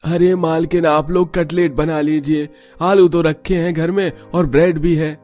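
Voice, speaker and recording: A man's voice: fairly high pitch, level tone, a little slow, darkish tone, faint music behind, lightly acted. A man voicing a humble, cheeky and helpful household servant in a cartoon story, deferential and explanatory. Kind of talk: Hindi cartoon dubbing.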